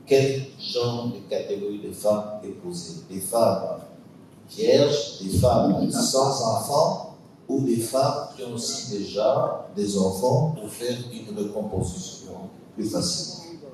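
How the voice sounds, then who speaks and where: A man asks a question slowly and formally. His voice is low and mellow. He is heard from afar in a hall with heavy echo and faint hiss. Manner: slow, formal and deliberate questioning. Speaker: co-host asking questions. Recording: echoey hall from far off, faint noise.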